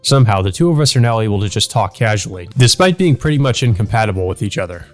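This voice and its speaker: A man's voice: fairly low pitch, lively intonation, fast. A man narrating a visual novel playthrough, reading the text aloud and adding casual commentary. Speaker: let's play narrator. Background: background music.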